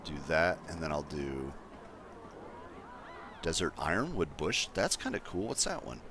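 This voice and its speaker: A man with a deep voice casually chatting to his viewers as he builds in a game livestream, thinking aloud in an easygoing way. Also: big pitch swings, a little slow, background noise. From Colorado, USA. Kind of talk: casual gameplay livestream chat.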